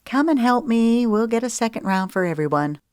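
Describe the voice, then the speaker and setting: A sociable, breezy woman with a bright, lifted delivery, as a woman narrator puts her on. The voice is dry and close, with no room sound. It is audiobook dialogue. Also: on the high side, expressive, moderate pace, smooth, no room sound, pitched above the performer's own voice, lightly acted.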